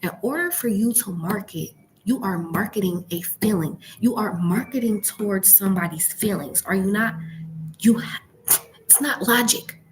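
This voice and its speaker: A woman coaching informally in a hushed, partly whispered voice, emphatic, often checking in with the listener. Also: mid-range voice, normal speed, a little hiss or hum, rough recording. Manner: emphatic, rhetorical questions and frequent check-ins. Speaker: YouTube branding and e-commerce coach. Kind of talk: informal coaching talk to camera. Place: library.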